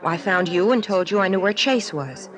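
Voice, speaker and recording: A woman's voice: mid-range voice, lively intonation, brisk, soft background music, lightly acted. A woman playing a nervous, stranded young woman in a radio drama, talking in an earnest, pleading, apologetic way. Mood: confessing. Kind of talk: 1950s radio drama acting.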